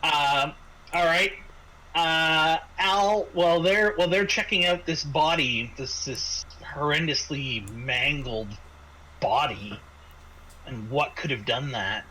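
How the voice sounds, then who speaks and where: A man running a tabletop game as game master, narrating off the cuff in a halting way with repeated words. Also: on the high side, pushed and bright. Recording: noisy home microphone.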